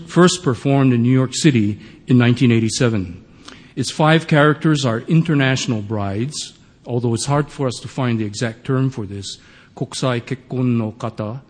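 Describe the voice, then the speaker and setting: A man from Hawaii reads out a formal introduction to a play. His pace is measured and explanatory. His voice sits at an ordinary middle pitch. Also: a little mellow, in a large hall. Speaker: professor presenting a play.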